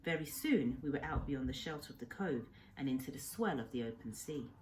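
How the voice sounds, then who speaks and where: A woman teacher reading a children's novel aloud as narrator, in a measured, expressive way. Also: extremely low pitch, a little hiss or hum, rough recording.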